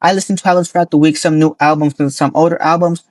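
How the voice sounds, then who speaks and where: Man, hoarse tired voice, slightly higher pitch, casual album review, dry close sound. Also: fairly even intonation, dry recording. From Nevada, US. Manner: reads quick notes, corrects himself, chuckles at slips. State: hoarse, worn voice.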